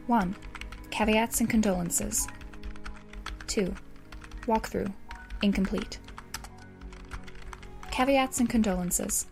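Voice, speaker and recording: A wry, articulate teenage girl voiced by a woman in an audio drama monologue. She speaks in an ordinary female register, dry and wordy. Soft music sits underneath. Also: medium pitch, lightly acted. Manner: verbose, dry, sardonic. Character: wry, erudite teenage girl writing a doomsday game walkthrough.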